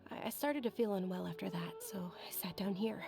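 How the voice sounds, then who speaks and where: A woman's voice: medium pitch, brisk, vocal fry, close to an everyday voice. A woman voicing a frail, sickly young woman. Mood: wistful. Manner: soft, quiet, resigned. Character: frail, sickly young noble girl, wistful. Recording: game audio with music behind.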